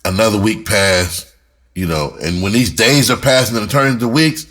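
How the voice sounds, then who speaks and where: A man's voice: fairly low pitch, moderate pace, fairly bright tone. A middle-aged American man telling a personal story casually and candidly, swearing freely and repeating words for emphasis. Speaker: rapper and writer telling their story.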